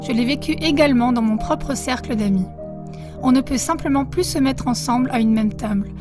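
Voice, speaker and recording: A woman journalist speaks in a calm, reflective way. Her voice is markedly high, and clearly audible music plays beneath her words. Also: brisk. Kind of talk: voiced-over quoted statement.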